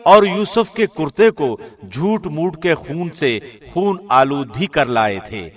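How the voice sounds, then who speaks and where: A man's voice: a little high, expressive. A man reading a scripted translation of scripture as a professional radio presenter. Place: studio.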